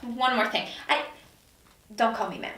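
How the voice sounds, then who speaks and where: A woman acts out a driven executive with a slightly high voice. She is wry, assertive and sharp-tongued, in table read style. The recording is echoey and away from the mic. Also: measured pace. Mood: indignant. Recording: echoey room, distant mic.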